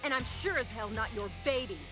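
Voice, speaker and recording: An actress plays a tough special forces woman in a fighting game. She has an American accent and a curt, no-nonsense delivery, with clear music underneath. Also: on the high side, a little fast, close to an everyday voice, poor audio. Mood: defiant irritation. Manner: curt, businesslike.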